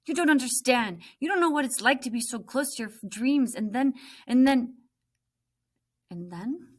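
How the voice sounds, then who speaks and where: A woman's voice: high voice, lively intonation, normal speed, voice pushed up. Woman reading as frustrated, snappy storybook boy. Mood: impatient, upset. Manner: impatient, insistent, snapping.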